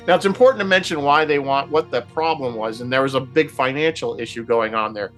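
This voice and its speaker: Male radio panelist, medium pitch, casual explainer with a halting, repetitive delivery, faint music behind. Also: fairly bright tone. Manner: halting, repeats words as he thinks aloud. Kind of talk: casual discussion, explaining a TV series.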